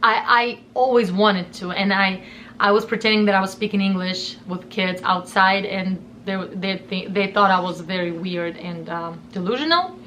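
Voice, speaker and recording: A woman's voice: mid-range voice, normal speed, pushed and bright, slight background noise. A young woman from Russia with a Russian accent, telling a personal story in an earnest, emotional way and often repeating herself. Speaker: vlogger.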